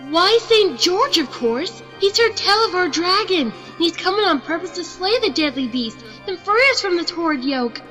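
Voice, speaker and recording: A gleeful, excitable village youngster, voiced by a woman, bubbling over with eagerness. The voice is pitched very high and leaps about with lively pitch. Storybook audio drama. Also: moderate pace, hardly any pauses, soft background music, exaggerated voice, rough recording. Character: excitable young village child, the boy's friend.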